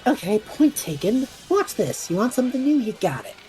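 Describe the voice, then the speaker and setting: A woman plays a flippant young male adventurer in an audio drama. The voice is mellow and swings widely in pitch, breezy and sarcastic, over faint music. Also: sing-song, brisk. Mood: showing off. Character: cocky, flippant young male student adventurer, a magic user.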